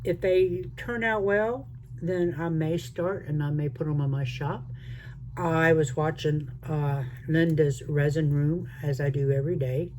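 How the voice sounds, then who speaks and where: Slow, rambling older speaker with a mixed Southern accent. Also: mid-range voice, steady tone, darkish tone, slight background noise, rough recording. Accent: mixed Southern American. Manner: chatty, rambling, talks to viewers as y'all. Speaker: resin crafting channel host. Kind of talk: casual crafting vlog chat.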